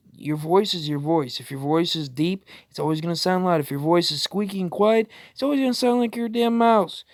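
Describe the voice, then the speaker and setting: Guy doing reaction commentary, kinda higher voice, blunt n sweary, rambles a lot, really dry sound. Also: a little mellow, smooth, dry recording. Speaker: reaction video YouTuber.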